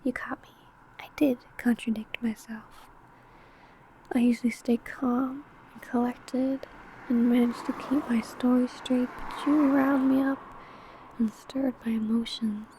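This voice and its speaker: Possessive vampire coworker for ASMR roleplay, played by a woman: breathy female whisper, soft and mellow, menacingly teasing, faint background noise. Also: a little high, steady tone, close to an everyday voice, rough recording. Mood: coy, confessing. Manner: slow, teasing, menacing, deliberate. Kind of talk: ASMR roleplay, intimate monologue to listener.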